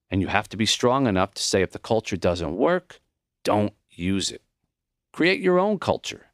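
A man voicing a kind, wise old dying professor in an audiobook, with a high, smoky voice and an earnest, teacherly manner. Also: gravelly, dry recording, studio quality.

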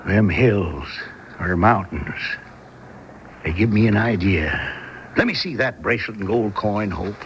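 Scheming folksy old west settler, cocky, radio play, lots of background noise. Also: male voice, fairly low pitch, expressive, measured pace, pauses often, fairly bright tone. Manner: folksy, rustic speech. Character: scheming, cocky frontier homesteader husband with folksy swagger. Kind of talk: old-fashioned Western radio drama acting. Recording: noisy 1970s radio drama broadcast.

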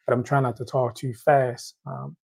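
A man's voice: medium pitch, flat delivery, moderate pace, creaky, a little mellow, slightly rough. A man from Haiti with a Haitian accent, hosting a casual question-and-answer show on credit, talking loosely and often restarting his phrases. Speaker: host.

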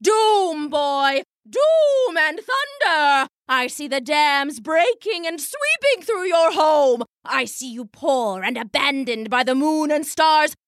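A woman voicing a pompous, theatrical female soothsayer who intones grand prophecies in a booming stage voice. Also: sing-song, takes it slowly, hardly any pauses, no echo, studio quality, full character voice.

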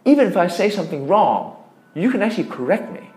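Echoey, higher-voiced Danish-accented man. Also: big pitch swings, fast talker, mellow, soft background music. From Denmark. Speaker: tech startup founder being interviewed. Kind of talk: explanatory interview talk. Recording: echoey room.

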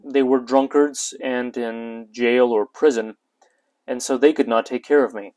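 A man in his twenties, born in Ukraine, hosting his own podcast and telling his life story in a measured, earnest monologue with light hesitations. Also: mid-range voice, darkish tone. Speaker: podcast host. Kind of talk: personal testimony podcast monologue.

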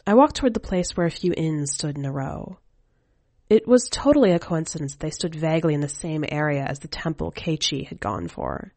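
A woman's voice: low voice, a little mellow, no echo. A woman reading a scripted first-person short story as a podcast narrator. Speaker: podcast editor and story reader.

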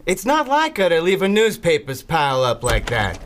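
An actor playing a grouchy, nervous recluse in an audio drama, irritable and prone to stammering. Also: male voice, a little high, wildly swooping pitch, moderate pace, heavy background noise. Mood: anxious. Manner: irritable, stammering when anxious. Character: grouchy reclusive man, nervous and pleading under pressure.

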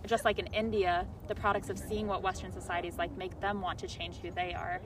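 A young woman in casual conversation as a podcast host, talking warmly and often laughing, with many "like"s. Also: fairly low pitch, flat delivery, brisk, far from the mic, background noise. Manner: chatty, laughs often, says "like" a lot.

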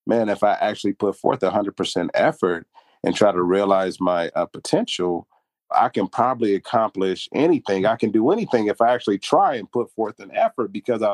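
A man's voice: lowish voice, darkish tone, dry recording. A young man talking earnestly and reflectively about pride and humility in a casual podcast chat. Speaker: podcast co-host.

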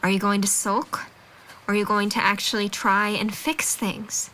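A woman acting a candid, forgiving confidante in an audio drama, direct and gently challenging. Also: mid-range voice, flat delivery, normal speed, slight background noise, lightly acted.

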